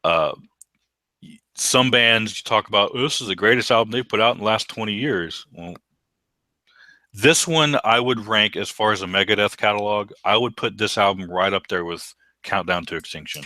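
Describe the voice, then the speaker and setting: Deep baritone man with a dry close sound. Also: normal speed, bright, forceful tone, no echo. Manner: enthusiastic, repeats words when restarting. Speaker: podcast co-host. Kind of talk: casual chat.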